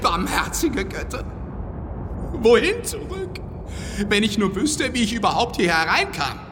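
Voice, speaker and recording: An actor playing a cheeky, cowardly, food-loving comic birdcatcher in a radio play, blustering and full of exclamations. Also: male voice, high-pitched, wildly swooping pitch, unhurried, noisy background, full character voice. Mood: plaintive fright. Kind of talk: German radio play adaptation of an opera. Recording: music behind the voice.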